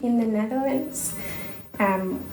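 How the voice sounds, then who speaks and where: A woman's voice: fairly high pitch, slow. A woman from Indonesia, a researcher guest in a casual interview, speaking thoughtfully with many fillers and restarts. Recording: echoey room with noise.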